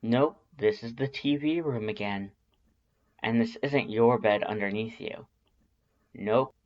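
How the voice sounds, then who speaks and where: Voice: medium pitch, takes it slowly, a little mellow, lo-fi sound. A narrator reading a scripted story expressively, in the manner of an audiobook. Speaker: fan fiction narrator.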